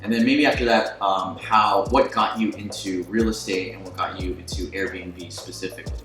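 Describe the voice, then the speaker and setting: A man in his twenties hosting a casual sit-down interview, excitable, laughing often and full of fillers. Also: low-pitched, moderate pace. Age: 20s. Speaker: host. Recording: echoey room with music behind.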